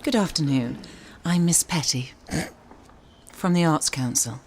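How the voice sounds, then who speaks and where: An actress playing a prim, politely evasive official in a radio drama. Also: female voice, low-pitched, a little slow, a little mellow. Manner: polite, hesitant, formal.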